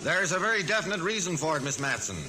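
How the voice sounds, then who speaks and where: Earnest, bright-voiced 1940s insurance man. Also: sits high, expressive, heavily acted. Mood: brisk, instructive. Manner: deliberate, instructive, insistent. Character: earnest, businesslike male insurance adjuster. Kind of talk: 1940s radio drama acting. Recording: noisy vintage 1940s radio recording.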